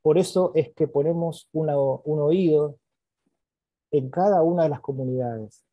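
A man's voice: on the high side, a little slow, no room sound, muffled recording. A man, a regional council president, giving repetitive, emphatic remarks over a video call. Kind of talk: remarks in online session.

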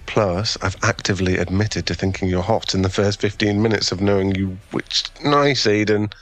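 A man's voice: on the low side, normal speed, no echo, natural voice. A man playing an awkward, kind young gym owner with a crush in an ASMR boyfriend roleplay, speaking in an earnest, hesitant, stammering way. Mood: reassuring.

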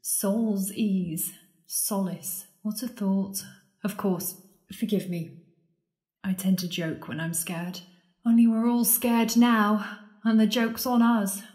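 A woman's voice: medium pitch, slow, a little mellow, close to an everyday voice. A woman playing a sardonic, frightened penitent at the world's end in an audio drama, babbling and joking wryly out of nerves. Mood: scared, wry. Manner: babbling, wry, joking nervously. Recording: echoey room.

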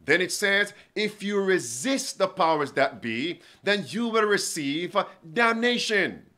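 A man's voice: sits high, lively intonation, slow, bright, forceful tone. A man in his forties preaching to camera from a studio desk, reading quotations aloud and asking his listeners pointed questions. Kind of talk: prophecy sermon to camera. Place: indoor studio with bookshelves.

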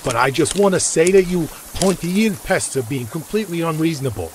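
A man as a cheeky, high-pitched grumbling boy. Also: lively intonation, a little fast, a little hiss or hum, close to an everyday voice. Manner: blustery, complaining, sarcastic. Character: defiant, grumbling, chubby boy with a cheeky temper.

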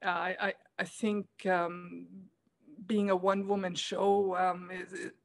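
A middle-aged woman from Germany answers questions over a webcam from her home, soft-spoken and a little hesitant, with plenty of ums and small laughs. Her voice is fairly low for a woman. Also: flat delivery, measured pace. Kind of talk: casual video call interview. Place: room at home, indoors. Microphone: laptop webcam video call.

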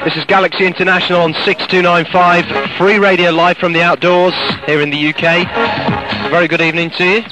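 A man's voice: high-pitched, flat delivery, a little fast, low-quality recording. A British man presenting live radio in a chatty, informal, ad-libbed way, broadcast outdoors over shortwave. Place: outdoors in the countryside. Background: loud music.

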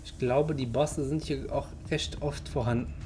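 A slightly hoarse man casually commenting as he plays a video game. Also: medium pitch, moderate pace, over music. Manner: relaxed, thinking aloud. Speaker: let's play host.

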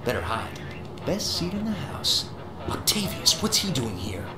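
A man's voice: a little high, sing-song, unhurried, echoey room, over music. An actor playing an earnest, wisecracking young male superhero in a video game, quippy and dramatic. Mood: determined, urgent. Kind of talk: video game cartoon-hero acting.

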